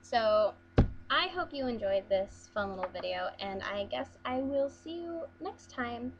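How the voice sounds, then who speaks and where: A woman's voice: on the high side, lively intonation, measured pace, dull audio. A young woman from Las Vegas chatting casually through an accent challenge, hesitant with frequent ums and restarts. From Las Vegas, USA. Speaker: vlogger.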